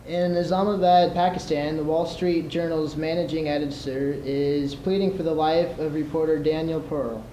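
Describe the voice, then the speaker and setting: A man's voice: on the high side, fairly even intonation, normal speed, hardly any pauses, mellow. A young man in his teens delivering scripted radio news as a student competitor. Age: teenager. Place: large room at a school event. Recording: echoey, noisy live room recording.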